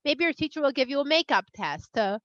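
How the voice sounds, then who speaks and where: A woman voicing God as a calm, fair-minded judge who explains patiently. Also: sits high, fairly quick, dry recording, highs cut off. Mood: reassuring.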